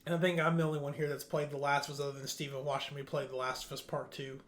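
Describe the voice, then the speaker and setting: A man's voice: mid-range voice, level tone, quick pace, slight background noise. A man hosting a podcast, casually presenting and chatting through entertainment news. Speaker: podcast host.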